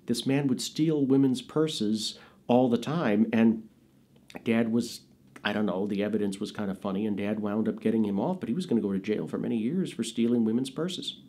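A man's voice: on the low side, moderate pace, dark tone, slight background noise. An American man in his fifties telling chatty anecdotes casually in an interview, as a raconteur. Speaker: interviewee, actor and filmmaker recalling his father. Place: small indoor studio with dark curtains.

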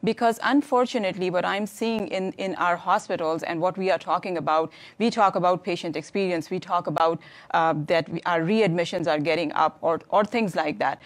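An earnest woman in her thirties from Pakistan speaks on a studio panel through a lapel mic. Her voice sits a little low and she hunts for words, repeating phrases as she builds her point. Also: brisk. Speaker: hospital physician panelist. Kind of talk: panel discussion. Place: studio stage with curtain backdrop.

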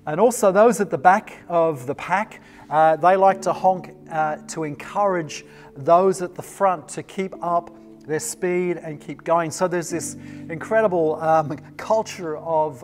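A middle-aged Australian pastor preaches in an easygoing, chatty way, often pausing on ums and uhs. His voice sits a little high for a man. Faint music plays softly underneath. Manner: conversational, relaxed preaching with frequent fillers. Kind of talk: recorded online sermon. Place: small indoor set with warm lights. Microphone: lapel mic.

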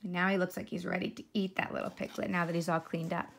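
A woman's voice: lowish voice, level tone, fast, creaky, a little hiss or hum. A woman reading a children's storybook aloud as a teacher, adding simple explanations of the pictures for young listeners.

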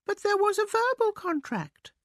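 A pompous little mouse, played by a woman in a storybook. His pitch sits high and swings about as he declaims with great self-importance and ready indignation. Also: animated, normal speed, dry recording, studio quality. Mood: indignant. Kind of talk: storybook character voice.

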